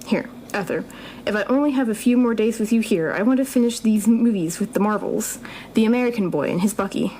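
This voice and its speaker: Actress as woman professor, medium pitch, gentle, measured, firm, faint ambient noise, drama dialogue. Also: natural voice, poor audio. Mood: tender.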